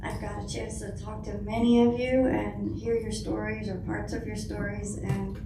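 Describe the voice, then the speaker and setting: A middle-aged woman with a soft voice, giving a hesitant personal testimony to an audience. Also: mid-range voice, little pitch movement, moderate pace. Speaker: guest speaker, foundation founder. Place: indoor conference room. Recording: roomy hall, distant mic, faint noise.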